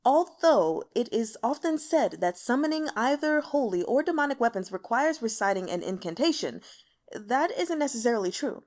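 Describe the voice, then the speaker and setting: A woman acts out a fearful elder healer at an imperial court in a fantasy audiobook. The speech is formal, anxious and hesitant, high-pitched with animated swings. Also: moderate pace, lightly acted. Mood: nervous, insistent. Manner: nervous, hesitant, stammering, formal.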